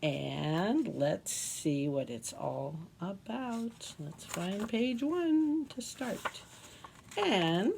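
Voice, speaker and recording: Animated woman leading children's story time. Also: mid-range voice, vocal fry, slight background noise. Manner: simple, expressive, addresses children with questions and tag questions. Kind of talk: read-aloud story time for young children, asking questions.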